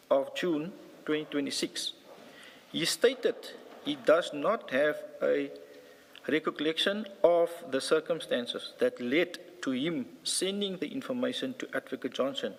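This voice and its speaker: Male South African police witness, formal and somewhat halting testimony, reverberant hearing-room sound. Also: fairly high pitch. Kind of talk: formal testimony, partly reading a statement aloud. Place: large indoor hearing room.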